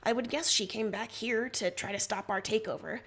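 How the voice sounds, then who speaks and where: A woman's voice: on the high side, normal speed, creaky, a bit bright, husky, heavily acted. A woman voicing a flustered, stubborn young alien emperor in a livestreamed story, huffy and defensive. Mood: matter-of-fact.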